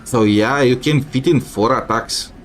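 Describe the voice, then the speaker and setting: A man's voice: mid-range voice, measured pace. A man thinking aloud in casual, mildly grumbling commentary as he plays a video game. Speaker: gamer streaming a playthrough. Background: game music.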